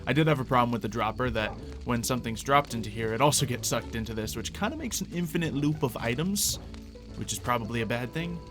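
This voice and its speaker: A man's voice: medium pitch, animated, fast talker. A man doing gameplay commentary, thinking aloud and explaining each step with playful, exaggerated exclamations. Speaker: gaming video host. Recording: music playing under the voice. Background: background music.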